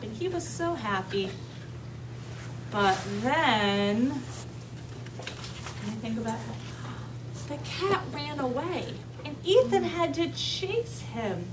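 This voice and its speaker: A woman leading an interactive read-aloud lesson for young children, using simple instructional phrasing and frequent check-in questions. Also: sits high. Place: kindergarten classroom with children. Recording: distant mic, clearly noisy room ambience.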